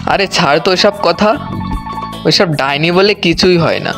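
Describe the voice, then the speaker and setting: A man's voice: high voice, measured pace, over music. A man voicing a bold village boy who leads his friends in a children's cartoon. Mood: casual, bold.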